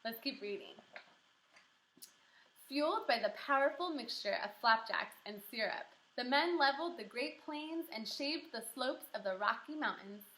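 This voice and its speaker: A woman teaching kindergarten through a read-aloud lesson, encouraging and animated, asking questions and pausing for answers. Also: on the high side. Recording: roomy echo.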